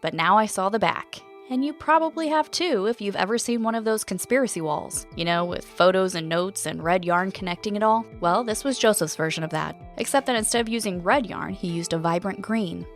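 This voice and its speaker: Woman as curious, chatty mid-pitched podcast host. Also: brisk, few pauses, faint music behind, natural voice. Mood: curious, intrigued. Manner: casual, conversational, wry. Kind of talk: scripted first-person narration and conversational dialogue.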